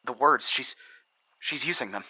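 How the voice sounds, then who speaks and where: An actor playing a grieving, trapped husband and father in a horror audio drama, halting and stammering, bitter and confused. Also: mid-range voice, sharp tone, husky, no room sound. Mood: shaken. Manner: halting, stammering, repeating words.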